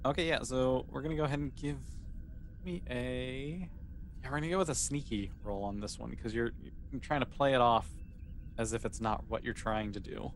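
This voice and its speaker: A man's voice: mid-range voice, normal speed, a little hiss or hum. A man running a tabletop role-playing game, narrating off the cuff in a conversational, rambling way. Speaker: game master.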